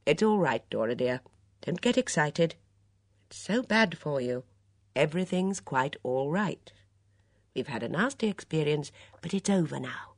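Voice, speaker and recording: Audiobook role: composed, no-nonsense older lady read by a woman, animated inflection, dry intimate recording. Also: low-pitched, measured pace, dry recording, heavily acted. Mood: soothing, firm. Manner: firm, matter-of-fact, soothing. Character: composed, sensible, brisk older lady of the house. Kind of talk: audiobook character dialogue.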